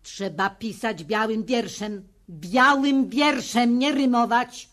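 Bossy, bright-voiced old theatre expert. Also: female voice, on the high side, slow pace. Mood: declamatory. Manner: blunt, instructing, declamatory. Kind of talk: 1970s Polish children's radio play acting.

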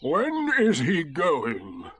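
A man voicing a gloomy, sarcastic storybook donkey with a slow, mournful drawl. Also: a little high, wildly swooping pitch, a bit bright, breathy edge, voice pushed up, exaggerated voice. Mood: puzzled, dour. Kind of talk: storybook character voice.

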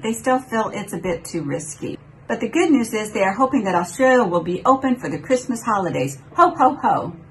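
Older woman, travel vlogger, upbeat newsy read, medium pitch, faint background noise. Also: rough recording. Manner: upbeat, newsy delivery reading from notes. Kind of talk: scripted cruise news update. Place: small home office, indoors.

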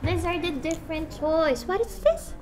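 A woman's voice: very high-pitched, lively intonation, normal speed, background noise. A young woman English teacher with a Vietnamese accent, coaxing a small child through a speaking test with sing-song praise, recorded by a camera across a small classroom. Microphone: far camera.

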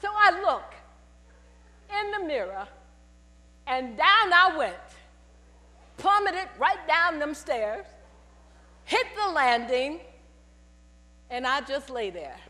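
A woman's voice: high voice, takes it slowly, pauses often. An older woman in a purple robe giving a spoken testimony from the pulpit, telling stories and calling out to the congregation. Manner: animated storytelling with call-and-response. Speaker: church speaker giving testimony. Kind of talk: testimony sermon. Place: large church sanctuary at pulpit. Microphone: headset mic. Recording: long church reverb with mains hum.

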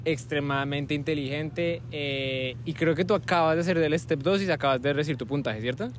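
A young man hosting a casual improvised interview on a campus, relaxed and chatty with frequent fillers. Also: fairly high pitch, brisk, a little hiss or hum. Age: young adult. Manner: relaxed, conversational, many fillers like "como" and "eh". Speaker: host, medical student interviewer. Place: outdoors on a university campus plaza with trees.

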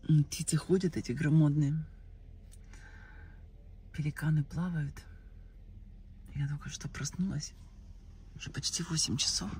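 An older woman chatting casually for a vlog, musing in unhurried short phrases, recorded on a handheld phone. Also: sits low, mellow, a little hiss or hum. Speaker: travel vlogger. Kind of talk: casual first-person vlog chat. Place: outdoors by the shore, in a car, in a cafe.

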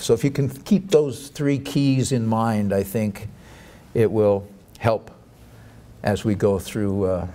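A man's voice: fairly low pitch, warm tone. Middle-aged man reading a formal lecture. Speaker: academic lecturer. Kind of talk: formal lecture read aloud from a script. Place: indoor conference hall, at a lectern. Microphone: boom microphone on the lectern.

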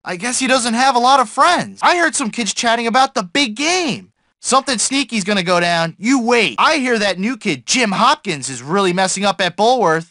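Video game mailman: very high male voice, animated swings, self-important, gossipy, whiny bluster. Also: moderate pace, no echo, clean studio sound, full character voice. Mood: chatty gossipy. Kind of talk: video game character barks and chatter.

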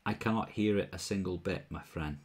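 A man's voice: sits low, a little fast, slightly rough. A young man from Wales with a mild Welsh accent, hosting a casual whisky livestream at home, chatting and answering viewers' comments through a wired earphone mic. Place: small room at home, bottles on a shelf behind.

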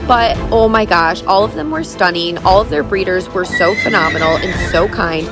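A woman's voice: mid-range voice, lively intonation, normal speed. Gushing woman vlogger over music. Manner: gushing, enthusiastic. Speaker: vlogger and horse owner. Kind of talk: casual vlog narration.

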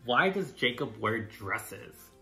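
Man voicing a bossy, bright-voiced schoolboy. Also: mid-range voice, big pitch swings, slow pace, faint music behind, exaggerated voice. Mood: scornful. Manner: bossy, demanding. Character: Christopher, bossy, scornful schoolboy.

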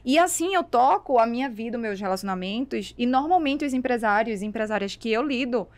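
She is a Brazilian woman with a fairly high voice. She talks like a podcast guest making a point across the table. Key words come back repeatedly, with emphasis and the odd rhetorical question. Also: lively intonation, brisk. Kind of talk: animated conversational explanation. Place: small foam-lined podcast studio. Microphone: studio microphone on boom arm.

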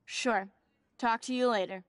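A woman's voice: a little high, a little slow, no echo, lightly acted. A woman playing a blunt gossipy teen girl. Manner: blunt, candid. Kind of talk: video game voice acting.

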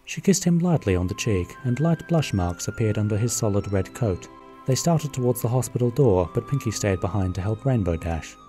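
A narrator reading a fan-made cartoon radio play in plain, even storytelling. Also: mid-range voice, a little fast, soft background music.

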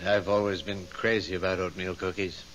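An actor playing a polite, awkward, hesitant gentleman courting a widow in a 1970s radio drama. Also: deep voice, level tone, moderate pace, lo-fi sound, soft background music. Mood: agreeable. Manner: hesitant, mannerly. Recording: faint hiss, 1970s radio.

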